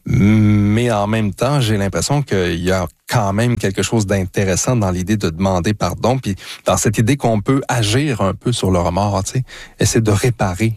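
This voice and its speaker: A man with a Québécois French accent hosting a radio talk show, calm and curious, guiding the conversation. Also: lowish voice, no room sound, studio quality. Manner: calm, curious, reads quotes deliberately. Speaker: radio host. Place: radio studio. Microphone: studio microphone.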